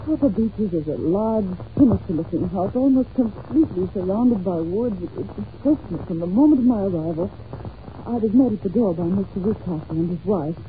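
A woman's voice: mid-range voice, lively intonation, a little fast, natural voice. Muffled old-radio anxious young governess played by a woman. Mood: earnest unease. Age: young adult. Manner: earnest, hesitant, polite. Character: anxious, well-mannered young governess confiding in a detective. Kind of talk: old-time radio drama acting. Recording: muffled, hissy old-time radio recording.

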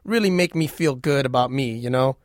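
A man's voice: mid-range voice, a little fast, no room sound, clean studio sound. An American man hosting a radio call-in, chatting in casual slang and repeating words as he forms his questions. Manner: conversational, repeating words while forming questions. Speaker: radio host interviewing a caller. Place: radio studio.

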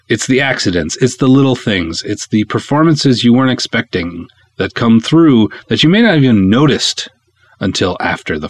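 A man's voice: on the low side, breathy edge. A man hosting a podcast as a film director, talking casually and reflectively with emphatic, conversational phrasing. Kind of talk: casual solo podcast talk.

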